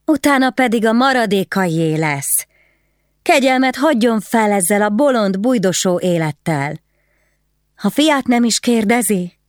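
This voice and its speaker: A woman's voice: mid-range voice, animated, no room sound, clean studio sound, voice pushed up, natural voice. A woman voicing a proud noblewoman who speaks to her husband in a cool, firm, commanding way. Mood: cold. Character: proud, stern noblewoman wife.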